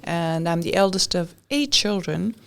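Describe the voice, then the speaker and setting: A woman's voice: on the low side, animated, unhurried, creaky, clean tone. A woman in her late sixties with an American accent, recounting her life as a casual podcast guest and repeating short phrases. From Europe. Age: late 60s. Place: podcast studio.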